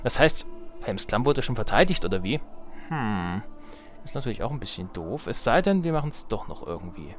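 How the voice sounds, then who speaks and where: A man's voice: fairly low pitch, big pitch swings, moderate pace. A young adult man doing casual let's play commentary, chatty and thinking aloud as he goes. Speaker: let's player. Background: game music.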